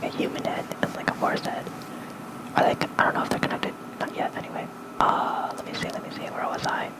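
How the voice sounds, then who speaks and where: An ASMR creator softly whispering a bedtime lore reading with casual asides, gentle and breathy, close on a fluffy-covered mic. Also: rough recording. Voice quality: soft breathy unvoiced whisper. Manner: slow, gentle, unhurried.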